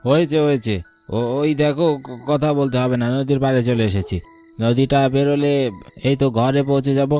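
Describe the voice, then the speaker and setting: A man's voice: medium pitch, fairly even intonation, a little fast, warm tone, faint music behind, lightly acted. A man acting a carefree young village husband in a cartoon, coaxing and reassuring in a breezy, insistent way. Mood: dismissive reassurance.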